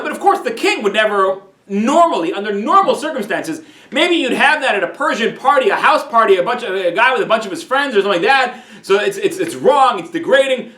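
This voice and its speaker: A man's voice: sits high, bright, forceful tone. A man teaching a Torah class as a rabbi, telling a story with frequent restarts and repeated words. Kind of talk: weekly Torah class lecture. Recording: echoey room.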